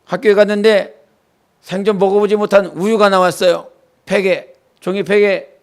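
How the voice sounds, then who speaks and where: A man's voice: high voice, flat delivery, normal speed, smooth. A male pastor from the Jeolla region preaching a Wednesday church sermon, moving between earnest teaching and homely stories from his childhood. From Jeolla, South Korea. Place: church sanctuary.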